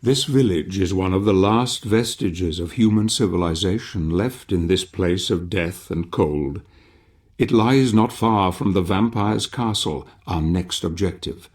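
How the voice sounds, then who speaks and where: An actor playing a grave, scheming knightly ally who narrates a dark fantasy game in solemn, measured, formal phrases. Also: male voice, low voice, soft background music. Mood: solemn, foreboding, then secretive, grave. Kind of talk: dramatic scripted game narration.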